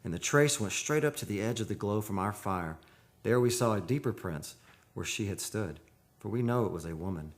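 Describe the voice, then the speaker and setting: A man playing a wistful, dreamy photographer narrating an eerie seaside tale in a measured, poetic radio drama style. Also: fairly low pitch, expressive, natural voice. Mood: hushed, eerie. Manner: measured, poetic, solemn delivery. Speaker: actor, narrator.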